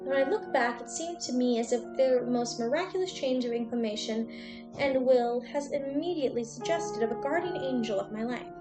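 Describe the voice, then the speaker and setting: A woman reading literary prose aloud in a measured, formal, audiobook-like way. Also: fairly high pitch, echoey room. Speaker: streamer reading a novel aloud. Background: music.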